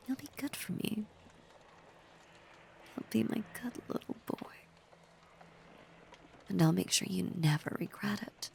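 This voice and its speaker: A woman voicing a possessive, obsessively loving female werewolf in an intimate, breathy, softly menacing ASMR roleplay. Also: medium pitch, full character voice. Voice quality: breathy, whispery.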